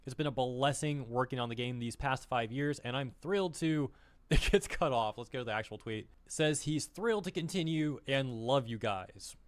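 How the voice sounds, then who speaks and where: A man's voice: mid-range voice, animated, normal speed, dry recording. Man with a strained throat giving commentary. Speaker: video commentator. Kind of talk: news commentary video.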